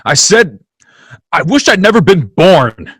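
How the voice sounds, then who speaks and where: Agitated husband at the end of his rope, male actor, emotional radio-play delivery, slightly high pitch. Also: very animated, a little slow, a bit bright, husky, no echo, clean studio sound, exaggerated voice. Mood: dejected, bewildered. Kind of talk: old-time radio play acting.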